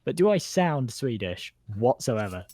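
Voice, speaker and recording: A man's voice: medium pitch, very animated, measured pace, darkish tone. A man with a British accent giving casual, chatty live color commentary on an esports match. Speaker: esports analyst caster.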